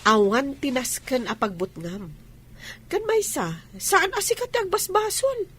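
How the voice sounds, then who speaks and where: High-pitched, insistent village woman played by an actress. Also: sing-song, measured pace, fairly bright tone, a little hiss or hum, exaggerated voice. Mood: insistent gossiping. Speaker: radio drama voice actor. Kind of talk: Ilocano radio drama acting.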